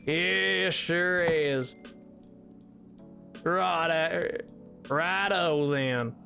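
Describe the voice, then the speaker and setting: A man's voice: fairly high pitch, drawn-out words, gravelly, bright, forceful tone, heavily acted. A man voicing an easygoing, polite cowboy with a drawling Southern US accent and a folksy, rambling, repetitive way of talking on a livestream. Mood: folksy, friendly. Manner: folksy, rambling, repeats himself. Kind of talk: improvised livestream banter. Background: music.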